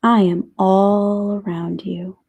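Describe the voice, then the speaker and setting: Storybook deity played by a woman: calm, all-present, reassuring, soft-spoken and soothing, very unhurried. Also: mid-range voice, creaky, breathy edge, heavily acted.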